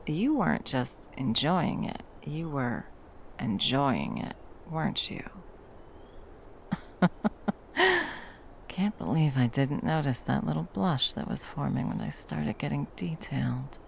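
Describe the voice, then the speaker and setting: A woman playing a protective, tender monster hunter in an intimate ASMR roleplay, speaking haltingly and breathily, often dropping toward a whisper. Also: low voice, animated, creaky, slight background noise, full character voice. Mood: teasing, seductive. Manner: halting, coaxing, speaking to a beloved. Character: wandering female monster hunter, fiercely protective, tender lover.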